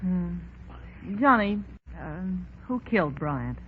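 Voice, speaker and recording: A woman's voice: lowish voice, very animated, takes it slowly, a little hiss or hum. A woman playing a shrewd, caring American cabaret boss in 1930s radio style, wry, slangy and familiar. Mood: concerned, teasing. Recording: dull lo-fi 1930s radio, faint music behind.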